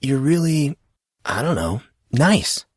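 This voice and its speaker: A man plays an uncertain teenage boy in an audiobook, guarded but earnest. He speaks hesitantly and tends to question, pitched a little up from a low adult register in a dry, close sound. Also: big pitch swings, slow pace, husky, no echo, exaggerated voice. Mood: awkward, uncertain. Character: confused, uncertain fourteen-year-old boy, earnest and guarded. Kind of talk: acted audiobook dialogue.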